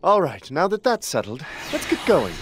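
A man's voice: on the high side, wildly swooping pitch, normal speed. A man playing a prim, refined male angel attendant in an anime game, polite and fussy. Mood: prim, composed.

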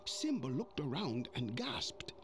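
An actor playing an old male mandrill elder, narrating a storybook read-along in an expressive way. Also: mid-range voice, slow pace, crowd noise. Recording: music playing behind.

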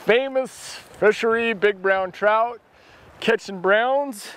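A man's voice: sits high, slow, fairly bright tone, clean tone. A man in his forties hosting a fishing vlog from a drift boat, exuberant, whooping and laughing, on a lapel mic outdoors. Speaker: fishing show host. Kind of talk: excited outdoor vlog chat.

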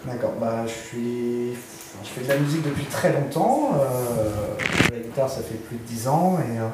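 A man's voice: mid-range voice, normal speed. A man in his thirties from northern France with a French accent, casually answering interview questions into a handheld microphone. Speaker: musician being interviewed. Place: small furnished indoor studio. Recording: very echoey room with clear noise.

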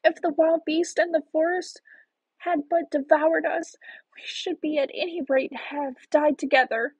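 Woman as Gretel, a high-pitched plaintive little girl. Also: normal speed, a little mellow, no room sound, lightly acted, rough recording. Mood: tearful, pleading. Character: frightened young girl Gretel, pleading and innocent.

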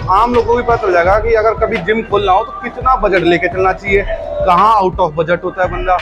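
A man's voice: sits high, steady tone, brisk. A young man from India chatting casually as he vlogs his day, with music playing behind him. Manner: chatty, repeats "ठीक है". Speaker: vlogger. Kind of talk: casual vlog chat. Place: outdoors and in a gym.

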